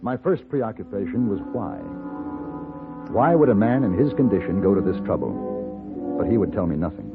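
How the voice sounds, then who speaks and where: An actor playing a composed, courteous gunfighter for hire in a 1950s radio western, speaking with measured, dry politeness. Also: male voice, on the low side, lively intonation. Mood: reflective. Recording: muffled old radio recording, music underneath.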